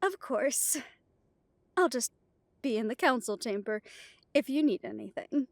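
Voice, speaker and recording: A woman playing a proud, commanding female vampire coven leader in an audio drama, speaking in a deliberate, imperious manner. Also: a little high, animated, vocal fry, dry recording. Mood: deferential. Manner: slow, deliberate, imperious speech.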